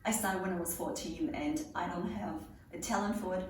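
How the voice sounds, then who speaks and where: A woman's voice: fairly low pitch, steady tone, brisk, far from the mic, over music. A woman in her late twenties, a pole dance instructor, chatting casually and cheerfully as she answers viewer questions and jokes with her audience. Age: 20s. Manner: chatty and upbeat, joking with viewers. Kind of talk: casual Q&A vlog. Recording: very echoey room, voice from afar, faint hiss.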